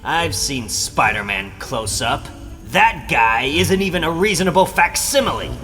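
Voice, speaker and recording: A man's voice: on the high side, animated, unhurried, over music. A man voicing an eager young superhero in a comic book dramatization. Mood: urgent.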